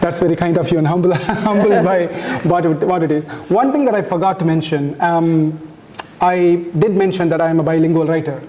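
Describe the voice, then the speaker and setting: A man whose first language is Tamil, giving an informal, halting speech as the author at a book launch, with many restarts and fillers. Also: fairly high pitch, warm tone, a little hiss or hum.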